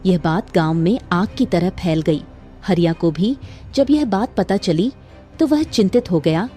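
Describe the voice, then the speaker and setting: A woman narrates a cartoon moral tale in scripted, dramatic storytelling style. Her voice is mellow and on the low side, with soft music behind it. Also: lively intonation, measured pace.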